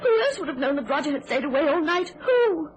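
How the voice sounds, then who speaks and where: High-voiced, distraught wife. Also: female voice, big pitch swings, a little fast, slight background noise. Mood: tearful, frantic. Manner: overwrought, tearful, melodramatic. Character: distraught, guilt-ridden wife in a secret love affair. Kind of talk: radio thriller acting.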